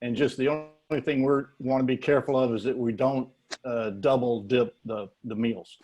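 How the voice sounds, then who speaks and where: A man's voice: mid-range voice, steady tone, moderate pace, a little mellow, dull audio, slight background noise. A man speaking off the cuff in a folksy, informal way as he hosts a meeting and takes questions on a video call. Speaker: meeting host answering questions.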